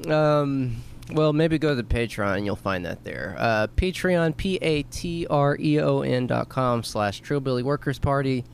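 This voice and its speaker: A man co-hosting a podcast, chatting casually and rambling, his voice going hoarse. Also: medium pitch, expressive, a little slow, hardly any pauses, a little hiss or hum. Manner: rambling, laughing, trailing off. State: losing his voice. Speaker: podcast co-host. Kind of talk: casual chat.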